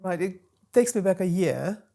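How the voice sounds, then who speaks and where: Fifties woman, deep-ish voice, stuffy nose, chatty and relaxed, in a little studio. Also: normal speed, vocal fry. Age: 50s. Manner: chatty, frequent ums. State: nasal from a cold. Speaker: podcast host and guest. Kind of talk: casual interview chat. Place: small recording studio.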